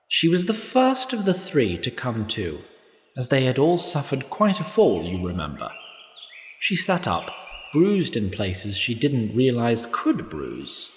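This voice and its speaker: Male narrator, wry playful asides, lively slow delivery, long reverb. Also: medium pitch. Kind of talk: scripted storytelling narration.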